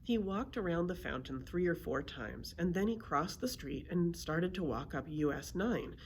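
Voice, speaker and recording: A woman reading a children's storybook aloud as a librarian, in an expressive storytelling manner. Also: fairly low pitch, normal speed, breathy edge, poor audio.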